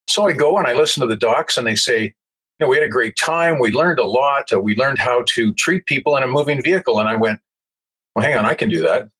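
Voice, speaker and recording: A man's voice: medium pitch, a bit bright, breathy edge, low-quality recording. A man in his sixties with a Canadian accent, telling stories conversationally as an interview guest. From Alberta, Canada. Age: 60s. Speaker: interview guest, retired paramedic. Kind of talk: conversational storytelling.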